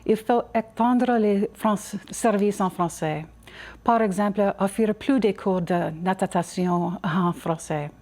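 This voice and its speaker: A woman with an English Canadian accent reading a scripted campaign speech as a council candidate, halting and stumbling a little in a language that is not her first. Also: medium pitch, a little mellow. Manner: halting, non-native reading with small stumbles.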